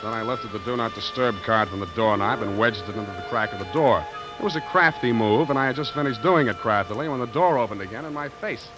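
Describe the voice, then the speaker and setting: An actor playing a wry, deadpan hard-boiled private detective in 1940s radio noir style. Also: male voice, medium pitch, fast talker, natural voice. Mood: wry narrating. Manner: deadpan, sardonic. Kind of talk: 1940s radio noir acting and first-person narration. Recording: vintage radio broadcast, music underneath.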